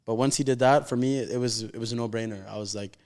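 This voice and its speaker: Young man, very quick pace, smooth clear tone, informal heartfelt interview chat. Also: medium pitch, fairly even intonation, a little mellow. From raised in Calgary, Canada. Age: 20s. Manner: informal, slangy, heartfelt, heavy use of "like". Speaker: professional footballer, interview guest.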